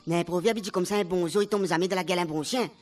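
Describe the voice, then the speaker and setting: A woman's voice: on the low side, very fast, a little mellow, faint music behind, lightly acted. A woman voicing a bossy but good-natured island man with a Réunion Creole accent, chiding and colloquial in a comic radio serial. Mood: cheerful.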